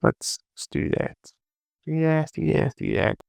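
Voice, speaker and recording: A man hosting a casual software tutorial, thinking aloud and muttering repetitively to himself as he works. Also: a little high, wildly swooping pitch, unhurried, vocal fry, no room sound, studio quality. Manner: muttering, repetitive self-talk. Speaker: 3D modeling tutorial host.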